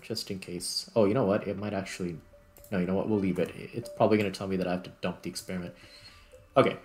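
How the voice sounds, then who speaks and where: A man with a fairly low voice hosts a gameplay video in a casual style. He thinks aloud and often corrects himself mid-thought. Faint music plays behind him. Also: a little mellow. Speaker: gaming video host.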